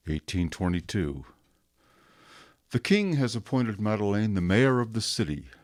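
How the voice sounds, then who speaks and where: An actor playing a stern, suspicious police inspector reading his journal entries in a radio drama, with measured, clipped delivery. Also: low voice, dry recording, studio quality.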